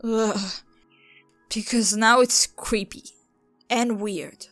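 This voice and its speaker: A woman's voice: fairly high pitch, fairly even intonation, extremely slow, a bit bright, dry recording, soft background music. A woman acting an indignant, territorial nature goddess in a fantasy audio drama, scornful and complaining. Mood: disgusted.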